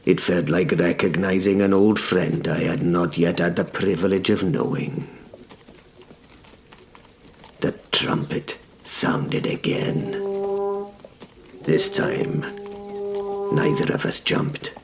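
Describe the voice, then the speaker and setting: A man playing a reflective young knight, telling his story in measured, solemn, hushed dramatic narration with deliberate pauses. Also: expressive, over music, full character voice. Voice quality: low, gravelly. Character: young male knight William, reflective, brave yet frightened. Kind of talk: scripted first-person dramatic narration. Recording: clear background noise and ambience.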